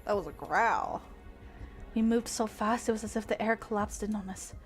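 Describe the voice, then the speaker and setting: A woman streamer reading a visual novel aloud with expressive narration and casual commentary. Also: mid-range voice, normal speed. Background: music.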